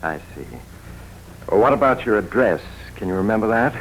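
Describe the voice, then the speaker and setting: A man plays a hospital doctor in an old radio drama. His voice is low and measured, with a soothing professional manner. A faint hiss runs under the recording. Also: animated, natural voice, poor audio. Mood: soothing, questioning. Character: calm, reassuring male hospital doctor. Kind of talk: 1940s radio drama acting.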